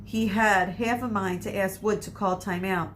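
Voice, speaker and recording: A woman narrating a children's story expressively, with dramatic emphasis and pauses for suspense. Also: medium pitch, steady tone, fairly bright tone. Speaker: read-aloud storyteller, teacher. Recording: faint background noise.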